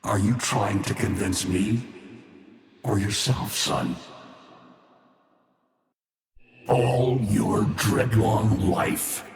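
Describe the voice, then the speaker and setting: A man's voice: fairly low pitch, takes it slowly, creaky, faint music behind. A male actor playing an ancient, brooding alien war-being in a video game, grave and scornful, with solemn, portentous delivery drenched in reverb. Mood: accusing, scornful. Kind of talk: theatrical scripted video game voice acting. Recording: cavernous long reverb, faint background noise.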